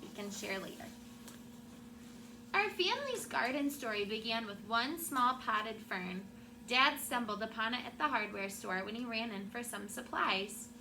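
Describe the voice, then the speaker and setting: Through clear background noise, a woman with a fairly high voice reads a picture book aloud to schoolchildren. She is a teacher who tells the story expressively and breaks off for short asides to her class. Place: classroom with children. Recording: clearly noisy classroom recording.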